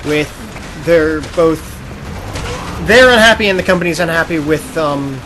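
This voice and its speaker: A man's voice: on the high side, normal speed. Casual male podcaster with noisy game audio behind. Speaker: podcast co-host. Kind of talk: casual gaming news chat while playing a video game. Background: video game audio.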